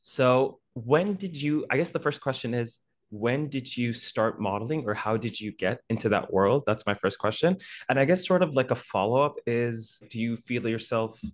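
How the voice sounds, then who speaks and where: A man's voice: mid-range voice, normal speed. A man hosting a casual podcast interview, friendly and asking long, rambling questions. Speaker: podcast host.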